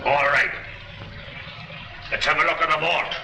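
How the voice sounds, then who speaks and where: An actor playing a bitter, sardonic ex-convict burglar in a 1930s crime film, menacing and taunting in tough slang. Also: male voice, on the high side, steady tone, unhurried, pushed and bright. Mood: suspicious, scornful. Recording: noisy, echoey 1930s film soundtrack.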